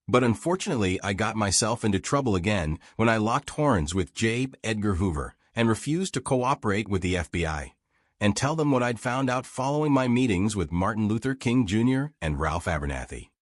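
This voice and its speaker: A man's voice: fairly low pitch, no room sound, clean studio sound. A man voicing a wry old American newsman, reminiscing. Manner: reminiscing, folksy, self-deprecating. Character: old American war reporter, frank, wry, thrice married. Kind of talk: audiobook character dialogue.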